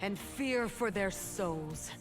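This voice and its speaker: An actress playing a dark, wounded winged woman from a game, speaking in a solemn, measured, theatrical way. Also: medium pitch, animated. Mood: menacing. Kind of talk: dramatic game character voice lines. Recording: music behind the voice.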